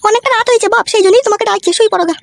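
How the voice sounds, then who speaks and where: A woman voicing a bitter, scheming village wife and mother, bossy and sharp-tongued, in a comic cartoon. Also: very high voice, very fast, creaky, a little hiss or hum.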